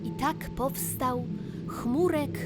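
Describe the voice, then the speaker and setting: A woman narrating a rhymed children's fairy tale in an expressive, deliberate storybook manner. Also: high-pitched. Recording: music behind the voice. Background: loud music.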